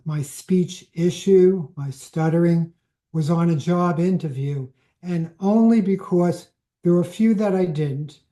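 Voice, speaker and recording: A man in a support group on a video call, sharing a memory in a plainspoken way with pauses. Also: a little high, mellow. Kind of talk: personal sharing.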